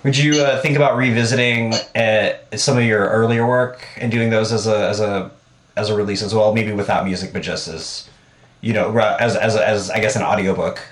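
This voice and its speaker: A man hosting a podcast, asking questions in a halting way with many restarts. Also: lowish voice, steady tone. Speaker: podcast host. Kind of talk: casual interview. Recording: echoey room.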